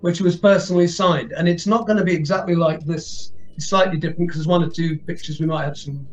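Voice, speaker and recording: Guy on a slightly muffled call, fairly high voice, musing and stopping and starting. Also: steady tone, quick pace. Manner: musing, hesitant, restarts. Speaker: guest actor and musician. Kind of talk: casual interview chat. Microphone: video call.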